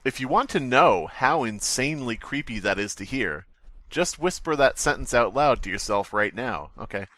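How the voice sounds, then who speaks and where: A man's voice: medium pitch, lively intonation, normal speed, a bit bright, no echo. A man co-hosting a podcast, making rambling, sardonic casual commentary on scary stories. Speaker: podcast co-host.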